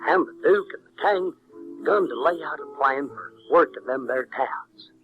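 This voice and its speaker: Guy playing a southern country boy telling his adventures, highish voice, folksy and wry, bit of music. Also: animated, normal speed, muffled recording, lightly acted. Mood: wry, easygoing. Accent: American South. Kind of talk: in-character narration in audio drama. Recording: 1970s audio drama with music.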